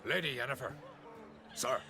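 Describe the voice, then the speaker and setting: An actor playing a deferential steward in a video game, formal and polite. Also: male voice, medium pitch, normal speed, crowd noise, natural voice. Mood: courteous. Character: courteous, deferential male steward of a jarl.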